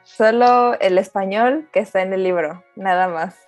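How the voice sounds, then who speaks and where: A woman's voice: mid-range voice, unhurried, a little mellow, soft background music. A woman hosting a casual interview, asking questions in an encouraging way. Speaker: host. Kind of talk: friendly interview, casual chat.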